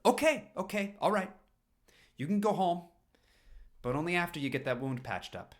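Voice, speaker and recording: A man's voice: sits high, expressive, normal speed, pitched above the performer's own voice. A level-headed, caring uncle in a story reading, acted by a man. He is practical and firm, a touch awkward, with a lifted, projected voice. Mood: firm concern.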